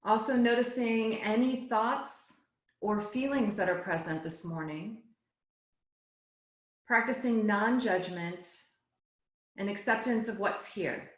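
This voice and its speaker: A woman yoga teacher guiding a relaxation and meditation class in a soothing, unhurried way, phrase by phrase. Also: medium pitch. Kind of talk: guided relaxation and meditation instruction. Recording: echoey, roomy sound.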